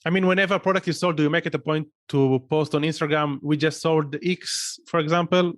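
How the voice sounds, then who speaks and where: A man's voice: a little high, fairly even intonation, fast, dry recording, clean studio sound. A man hosting an interview, asking questions with many fillers and hesitations. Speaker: podcast host, interviewer. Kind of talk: casual interview.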